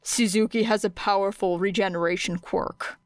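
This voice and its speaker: A woman voicing a gruff, unyielding male teacher in an audiobook, blunt and dry in manner. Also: medium pitch, fairly even intonation, moderate pace, no room sound, natural voice. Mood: grumbling. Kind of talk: audiobook character acting.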